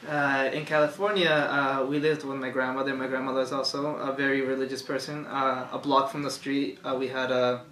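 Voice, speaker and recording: A young man from Chile, speaking as an interview guest in a soft, hesitant way, with many fillers, in a room lined with bookshelves. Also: mid-range voice, flat delivery, a little fast, few pauses. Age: young adult. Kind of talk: conversational testimony. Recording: echoey room, faint hiss.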